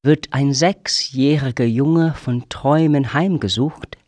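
A man acting as an unhurried male storyteller in a radio play, speaking slowly at a middle pitch. The voice is close and dry, telling a strange literary tale. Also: darkish tone, no room sound, lightly acted. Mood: measured.

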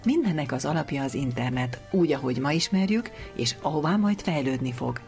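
A woman's voice: low voice, lively intonation, a little mellow. A woman hosting a radio programme, reading scripted narration. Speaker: radio host. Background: music behind the voice.

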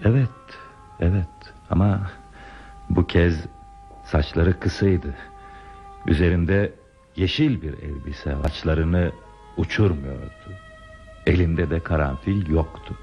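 A man's voice: sits low, takes it slowly, long pauses. An actor playing a bashful, wistful man who recalls a lost youthful love in a hesitant, reflective radio drama style. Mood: melancholy. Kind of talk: radio theatre acting. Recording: dull radio drama recording with music.

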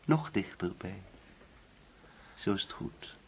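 An actor in a radio play as a grieving, middle-aged father, menacing and controlling, speaking in a deliberate, coaxing yet commanding way. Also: low-pitched, expressive, a little hiss or hum, rough recording. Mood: quiet menace. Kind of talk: radio drama acting.

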